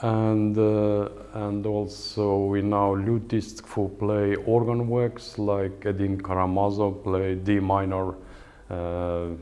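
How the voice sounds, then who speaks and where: Older man, Estonian accent, lecturing on guitar, slow and halting, low mellow voice, lots of filler sounds. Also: level tone. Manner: hesitant, many fillers and pauses. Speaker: guitar professor giving a lecture. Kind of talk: lecture with halting non-native English. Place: lecture hall at a music academy.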